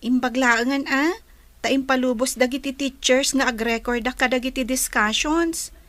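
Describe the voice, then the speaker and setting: Gentle student girl, acted by a woman: high-pitched, close and dry, for radio-style drama. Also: steady tone, normal speed, dry recording. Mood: helpful. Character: gentle young blind student. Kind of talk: audio drama acting.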